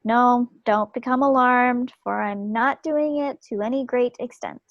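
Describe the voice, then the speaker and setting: A woman's voice: a little high, fairly even intonation, unhurried, dry recording. Woman acting affectionate young wartime wife, dull recording. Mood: tender, playful. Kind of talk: dramatic table read of a stage play. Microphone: computer mic on a video call. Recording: dull, dry video call recording.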